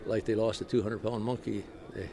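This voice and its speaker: Gravelly low-voiced man, noisy outdoor interview. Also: steady tone, brisk. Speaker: aircraft crew interviewee. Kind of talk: conversational interview remarks.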